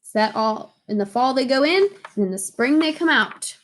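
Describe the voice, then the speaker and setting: A woman's voice: high voice, expressive, normal speed. A woman teacher reading a picture book aloud to young children and explaining it in simple, emphatic, playful phrases.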